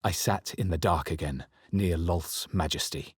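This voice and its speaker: Casting: solemn fantasy adventurer, very low husky voice, measured drama, close dry studio sound. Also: male voice, no echo, heavily acted. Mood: grave, dramatic. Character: grave, brooding male adventurer hero of a fantasy game. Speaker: video game voice actor. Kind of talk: scripted fantasy game narration, character lines and spell incantations.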